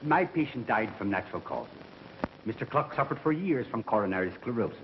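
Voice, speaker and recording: A man's voice: lowish voice, big pitch swings, brisk. A man acting a dismissive, formal physician in a 1930s film. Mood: formal, assured. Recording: dull vintage 1930s film soundtrack, faint noise.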